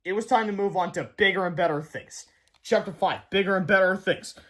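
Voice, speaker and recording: A man's voice: high-pitched, brisk, fairly bright tone. A man reading scripted children's book narration aloud as a storyteller.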